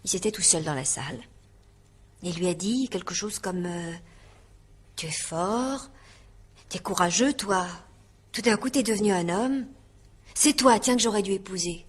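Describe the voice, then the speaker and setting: An actress playing a naive, talkative young housemaid in a radio drama, colloquial, hesitant and then eagerly confiding. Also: female voice, medium pitch, unhurried, pauses often, husky.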